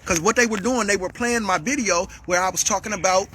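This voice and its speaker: A man delivering a heated, repetitive monologue as a vlogger, calling out a rival and swinging up to shouts. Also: high-pitched, a little fast, a little hiss or hum.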